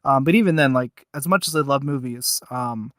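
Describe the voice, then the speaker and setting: A man's voice: medium pitch, normal speed, no echo, studio quality. A man co-hosting a podcast, chatting casually and off the cuff in a hesitant, rambling way full of filler words. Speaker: podcast co-host. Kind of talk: casual unscripted review chat.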